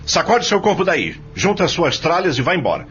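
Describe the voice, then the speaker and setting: A man's voice: on the high side, very animated, a little fast, fairly bright tone, soft background music. A man playing a cruel, arrogant farm boss in an audio soap opera, with a strident, threatening, contemptuous delivery. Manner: threatening, contemptuous, barking orders. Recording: dull lo-fi audio, some noise.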